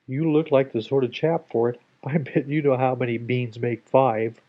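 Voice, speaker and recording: A man voicing a queer, sly little old man who chuckles and repeats himself in a drawn-out way. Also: medium pitch, fairly even intonation, natural voice. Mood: sly, chuckling. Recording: dull lo-fi home recording.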